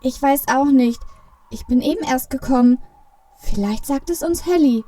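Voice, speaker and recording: A woman voicing a small, concerned Christmas elf with a husky voice in a family audio play. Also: sits high, moderate pace, mellow. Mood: puzzled, concerned.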